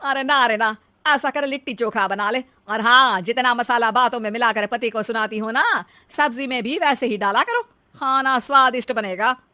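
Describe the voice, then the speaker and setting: A woman puts on a lazy old mother-in-law from a family story. She is bossy and nosy, wheedling in a high voice. The recording is dry. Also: normal speed, bright, forceful tone, no echo. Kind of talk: animated story dialogue.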